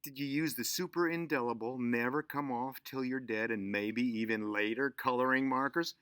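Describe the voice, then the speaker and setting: A man voicing an excitable, easily alarmed mother in a children's story, with dramatic, exclamatory delivery. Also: medium pitch, normal speed, clear, resonant tone, no room sound, studio quality, natural voice. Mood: suspicious, dismayed.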